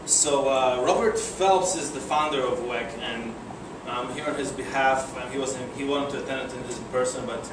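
A man's voice: medium pitch, fairly even intonation, moderate pace, hardly any pauses. A young man with a Polish accent giving a casual presentation at a breakfast meeting, hesitant, with many fillers and restarts. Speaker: guest speaker. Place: conference room, speaking to a seated audience. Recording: echoey room, far from the mic, air conditioning hum.

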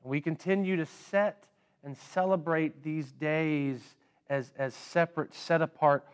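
A man in his thirties preaching a sermon in a church sanctuary, unhurried, often repeating words as he gathers his thoughts. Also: on the high side, steady tone, smooth. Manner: unhurried, with frequent word repeats and pauses; standing and gesturing while preaching. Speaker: pastor.

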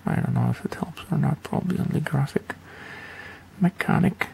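A man's voice: a little high, moderate pace, creaky, mellow, a little hiss or hum. A man giving a casual game tutorial in a rambling, offhand, hesitant way. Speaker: gaming video creator.

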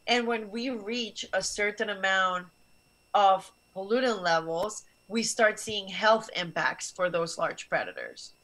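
A woman's voice: medium pitch, expressive, measured pace, fairly bright tone, low-quality recording. A young woman, originally from Barcelona, explaining science to students earnestly over a video call from a small office. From Barcelona, Spain. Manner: earnest, explanatory, gestures while talking. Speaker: guest scientist. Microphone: video call webcam.

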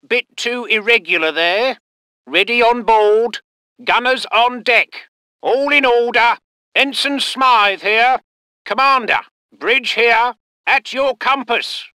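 Give the game a proper British naval ensign, dutiful and formal. His voice is bright and cleanly resonant, with clipped military phrasing. It sounds close and dry, with no room around it. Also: extremely high pitch, clean tone, no room sound, heavily acted. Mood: brisk, dutiful. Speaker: video game voice actor. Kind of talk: military unit voice lines.

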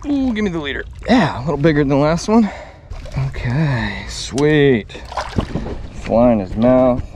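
Excitable chatty man fishing from a boat. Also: medium pitch, measured pace, slight background noise. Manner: excitable, chatty, self-deprecating. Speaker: fly fishing vlogger. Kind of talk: casual on-camera fishing narration. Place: small open boat on the water outdoors. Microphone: action camera on the boat.

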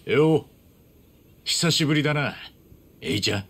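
An actor playing a loyal, earnest street-tough man in a game cutscene, speaking roughly and from the heart. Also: fairly high pitch, lively intonation, normal speed, a bit bright. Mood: wry, casual. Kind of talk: dramatic game cutscene acting.

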